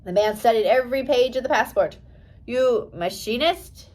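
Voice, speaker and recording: A woman's voice: on the high side, fast, darkish tone. A woman narrating a storybook as an audiobook reader, carefully reading aloud with an occasional stumble over the text.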